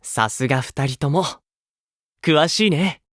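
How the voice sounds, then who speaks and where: Slow, gentle, caring idol. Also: male voice, on the high side, no echo, clean studio sound. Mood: wistful, amiable. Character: gentle, playful grown man, caring older idol teammate. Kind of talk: Japanese mobile game voice acting.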